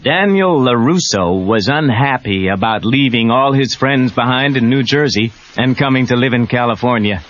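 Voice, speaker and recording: A man's voice: medium pitch, normal speed, hardly any pauses, fairly bright tone. A man reading a scripted children's read-along story as narrator. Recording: dull lo-fi cassette tape. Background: prominent music.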